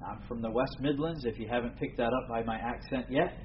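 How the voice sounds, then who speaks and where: A man from the United States preaching a sermon to an evening gathering, earnest and formal, pausing often with fillers. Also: mid-range voice. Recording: dull lo-fi recording with faint room noise.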